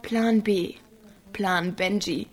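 A woman's voice: mid-range voice, steady tone, very slow. A woman playing a teasing, thrill-hungry teenage village girl in a naturalistic German radio drama. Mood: playful, plotting.

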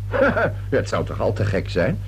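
A man's voice: lowish voice, huge pitch swings, slow pace, vocal fry, slight background noise, exaggerated voice. An actor playing an ingratiating middle-aged father in a radio drama, uneasy and eager to agree.

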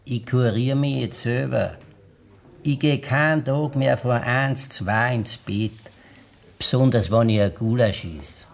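An actor with a Bavarian accent playing a fussy hypochondriac tavern guest with a husky voice in a radio play. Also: male voice, mid-range voice, slow pace. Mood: fretful. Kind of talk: 1960s Bavarian radio drama dialogue.

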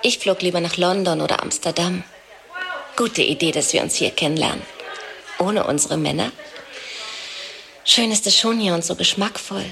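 Chatty jealous young wife, a bit low, sarcastic, radio play. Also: female voice, normal speed, creaky, long pauses. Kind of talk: radio play monologue and dialogue.

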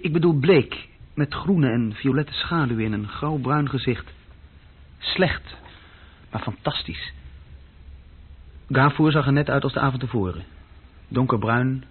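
Insecure young clerk, husky voice. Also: male voice, medium pitch. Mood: reflective. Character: uneasy, insecure young male junior clerk recounting his story. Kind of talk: radio play acting, intimate first-person narration. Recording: dull, muffled old radio recording.

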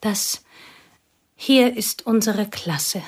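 An actress playing a provincial woman recalling an old school friend, speaking quietly and wistfully in a radio drama. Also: mid-range voice, drawn-out words, breathy edge. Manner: quiet, wistful, partly whispered.

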